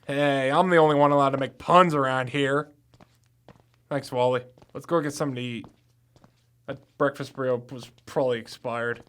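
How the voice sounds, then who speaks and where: A man's voice: medium pitch, fairly even intonation, normal speed, fairly bright tone, clear, resonant tone, close to an everyday voice. A man playing a confident young amateur campus detective in an audio drama, matter-of-fact with wry asides. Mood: wry, friendly.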